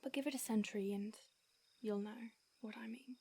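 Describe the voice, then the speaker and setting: A woman voicing an ancient female vampire who mentors her fledgling, speaking in a hushed, breathy whisper with hesitant restarts. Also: a little high, fairly even intonation, moderate pace. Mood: remorseful, tender. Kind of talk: audio roleplay.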